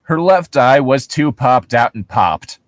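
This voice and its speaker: A man co-hosts a casual comedy reading show in a sardonic, sweary manner. His pitch is lively and swings around as he gets exasperated. The sound is dry, with very little room in it. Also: unhurried, no room sound. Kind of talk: casual riffing while reading horror stories aloud.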